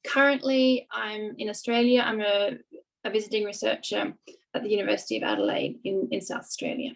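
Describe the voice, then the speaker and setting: Gravelly-voiced woman introducing herself. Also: mid-range voice, little pitch movement, fairly quick. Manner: brief, plain self-introduction. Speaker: panelist, co-editor. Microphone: video call.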